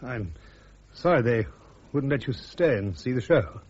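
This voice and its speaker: An actor playing a polite, restrained army major on trial in a radio drama, husky, courteous and a little hesitant. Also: male voice, medium pitch, animated, measured pace, lightly acted. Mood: gentle, awkward. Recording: dull, band-limited radio recording.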